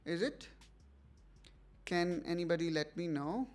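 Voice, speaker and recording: Hesitant male livestream host, higher voice. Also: expressive, measured pace. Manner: hesitant, many fillers and restarts, checking with viewers. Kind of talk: casual livestream tutorial.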